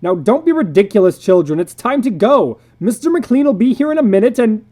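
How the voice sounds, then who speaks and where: Someone acting out a fed up dad in a story, stern man, bossy and emphatic, pitch jumps around. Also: very animated, brisk, mellow. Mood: nervous insistence. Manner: emphatic, exasperated, commanding. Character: fed-up, stern husband and father, adult man.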